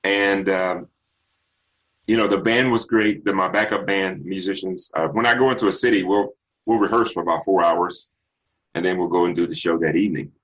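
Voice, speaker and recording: A middle-aged man from Texas with a bass baritone voice, a singer answering interview questions in an unhurried, reflective way with many ums and restarts. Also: steady tone, a bit bright, highs cut off. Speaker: singer guest.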